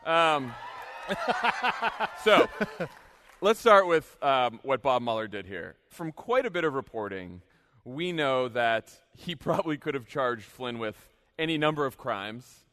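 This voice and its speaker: An American man hosting a casual political panel, speaking in a wry, animated way. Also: mid-range voice, slow pace. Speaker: podcast host moderating a panel. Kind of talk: casual political panel talk before an audience.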